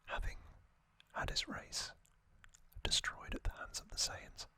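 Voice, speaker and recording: Slow, bright whispering man. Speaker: host of a whispered trivia and review show. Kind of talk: ASMR whispered commentary.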